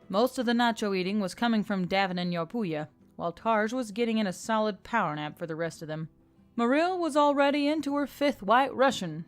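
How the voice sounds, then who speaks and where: A woman reading a scripted fantasy story as an audiobook narrator. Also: medium pitch. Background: music.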